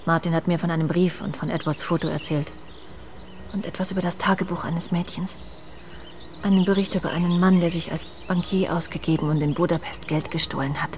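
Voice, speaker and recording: Actress as low-voiced, guilt-ridden woman confessing. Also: fairly even intonation, darkish tone, slight background noise, natural voice. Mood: guilty confessing. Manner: pleading, halting, tearful under questioning. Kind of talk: German radio drama acting.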